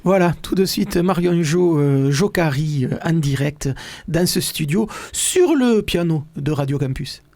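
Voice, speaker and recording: A man hosting a live radio interview in a studio, halting and repeating words with many fillers. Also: on the high side, animated, a little mellow. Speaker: radio host. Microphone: studio microphone.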